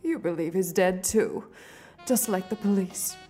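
Actress as mellow-voiced sultry noir femme fatale. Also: female voice, on the low side, a little slow, close to an everyday voice. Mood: anxious. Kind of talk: noir radio-drama acting. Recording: studio production with music underneath. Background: music.

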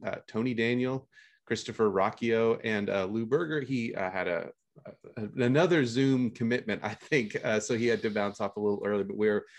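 A male podcast host with a somewhat low voice wraps up a panel in a relaxed, slightly hesitant manner. He then introduces the next segment. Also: moderate pace.

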